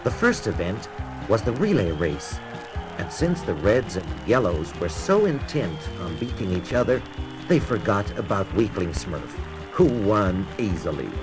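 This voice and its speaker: A man narrates a children's read-along record over music. His voice is fairly low and unhurried, with lively rises and falls in pitch. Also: poor audio. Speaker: storybook narrator.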